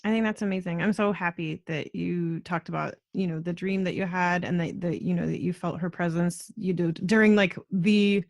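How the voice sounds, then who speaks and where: A woman's voice: on the low side, fairly even intonation, moderate pace, creaky, few pauses, no room sound, clean studio sound. A woman hosting a podcast interview in a casual, effusive, informal way, often saying "like" and "super". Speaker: podcast host interviewing a guest.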